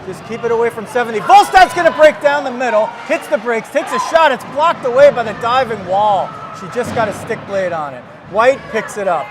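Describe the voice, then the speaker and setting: A man's voice: extremely high pitch, expressive, brisk, hardly any pauses. A man doing live play-by-play commentary on ice hockey in clipped running calls of the action. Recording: loud crowd and rink noise. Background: crowd and rink noise.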